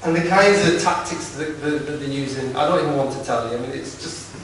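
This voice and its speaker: A man with a broad Lancashire accent giving an informal campaign speech at a political meeting, halting and repeating words, with wry asides. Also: a little high, fairly bright tone. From Lancashire, England. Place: large echoey meeting hall. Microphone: distant from the recording device. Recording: echoey hall recorded from far off with noise.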